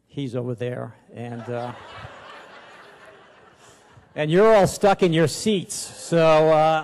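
A man's voice: fairly high pitch, darkish tone. A man in his sixties, a pastor, giving an informal sermon in a hesitant, self-deprecating, folksy way into a handheld microphone in a church auditorium. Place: large church auditorium, on stage at a pulpit.

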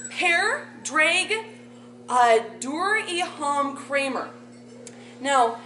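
A woman in her thirties reading aloud from a sheet in a community room, explaining a fake translation in a deadpan, mock-scholarly way. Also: sits high, a little hiss or hum. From Poland. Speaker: bardic performer. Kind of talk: mock translation comedy bit. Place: community meeting room with audience. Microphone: far camera. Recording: echoey room from afar.

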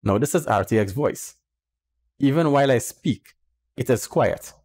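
A man in his thirties, hosting his channel, calmly explaining a microphone noise test to viewers on a headset mic in a small home room. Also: medium pitch, measured pace. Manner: plain, explanatory, talks straight to viewers. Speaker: channel host. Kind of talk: casual tech demo talk. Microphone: headset boom mic. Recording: faint background birdsong noise. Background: faint birds chirping.

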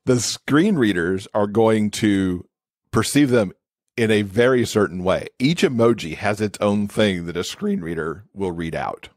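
Low-pitched man explaining things casually. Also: measured pace, dry recording, studio quality. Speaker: podcast guest, web accessibility expert.

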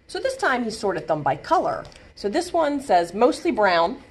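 An American woman in her thirties reading a picture book aloud to children as a librarian, in an expressive, clearly paced way. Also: medium pitch, moderate pace. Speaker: children's librarian and storytime reader. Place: small bright indoor room.

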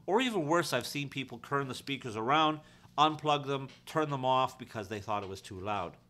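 A man's voice: mid-range voice, animated, normal speed, pushed and bright. A man giving an informal, practical how-to explanation in a conversational way, as a technician teaching his trade. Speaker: audio-visual technician and instructor.

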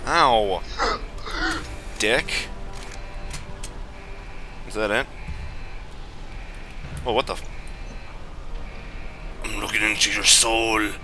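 A man's voice: lowish voice, very slow, pauses often. A man giving casual commentary as he plays a video game, crude and sarcastic, swearing freely. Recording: game music and effects behind. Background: video game music and sound effects.

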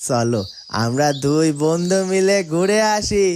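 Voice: mid-range voice, lively intonation, measured pace, darkish tone, a little hiss or hum, lightly acted. An actor voicing a friendly, slightly plaintive talking animal companion in a children's fairytale cartoon, chummy and earnest. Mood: affectionate, plaintive.

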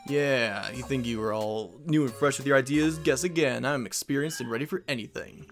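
A man's voice: mid-range voice, moderate pace, faint music behind. Joking man doing game commentary. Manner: joking, playful. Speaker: Let's Play host.

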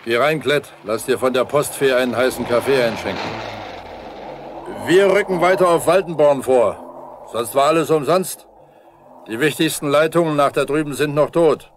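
An actor playing a stout, weary, stubborn older brigade leader with gruff colloquial speech in a 1970s East German radio drama. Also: male voice, mid-range voice, moderate pace, natural voice. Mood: commanding. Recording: noisy 1970s vinyl radio play.

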